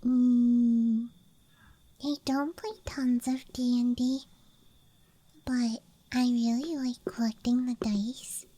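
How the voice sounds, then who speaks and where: A woman giving a hesitant, musing, self-deprecating ASMR ramble in a soft, breathy whisper. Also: fairly high pitch, level tone, slow pace. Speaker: ASMR creator. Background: soft night ambience.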